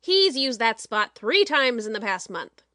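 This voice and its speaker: A man voicing a chubby, excitable, irritable producer in a comic story reading, with a moaning, exclaiming delivery. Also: very high-pitched, measured pace, no echo, studio quality, voice pushed up, exaggerated voice. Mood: irritated.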